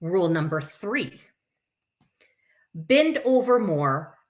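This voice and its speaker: A woman's voice: on the low side, very animated, takes it slowly. A woman hosting a live story time for children, reading aloud with informal, chatty asides. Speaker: camp staff story time host.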